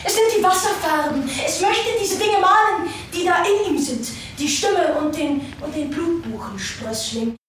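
An actress performing a solo stage monologue, speaking playfully to the audience with teasing, laughing asides. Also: female voice, very high-pitched, normal speed, few pauses, far from the mic. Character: woman storyteller chatting with the audience, playful. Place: theatre hall. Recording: echoey stage recording from afar, audience noise.

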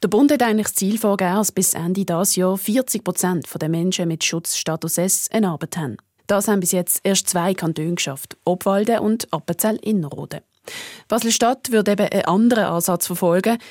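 A woman's voice: mid-range voice, fairly quick, a little mellow, no echo, studio quality. A woman with a Swiss German accent reading a scripted radio news report.

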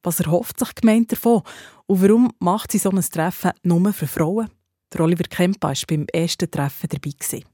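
A woman's voice: lowish voice, a little fast, warm tone, no echo. A woman with a Swiss German accent presenting regional radio news in a scripted presenter's style.

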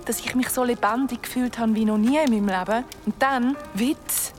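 Woman acting young female ghost, blunt, wistful, radio drama, clear music behind. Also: fairly high pitch, fairly even intonation, brisk, darkish tone, close to an everyday voice. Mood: bitter, intense. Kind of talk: naturalistic audio drama dialogue.

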